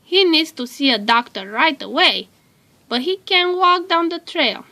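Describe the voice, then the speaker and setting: A woman reads a children's storybook aloud. Her voice is fairly high and bright, and she takes her time, delivering each sentence in a measured way. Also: expressive. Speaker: storybook narrator.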